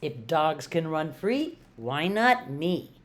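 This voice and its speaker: A woman voicing a cool, earnest male jazz cat in a children's storybook, with drawn-out, sing-song phrasing. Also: deep voice, sing-song, pitched below the performer's own voice, exaggerated voice. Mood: wistful.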